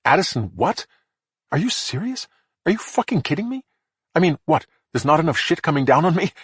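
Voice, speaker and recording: A frantic, foul-mouthed man on a phone, his voice pitched up and pushed hard as he hisses threats and panics, as a narrator puts him on. The tone is husky and dry, read close in an audiobook. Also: fairly quick, a bit bright, no room sound. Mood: panicked. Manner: snarling, panicky, profane. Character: angry stressed middle-aged man, hissing threats. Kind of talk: audiobook character voice.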